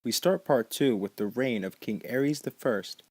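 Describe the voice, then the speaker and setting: A man's voice: mid-range voice, measured pace, a little mellow, no room sound. A man hosting a podcast, reading scripted narration. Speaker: podcast host.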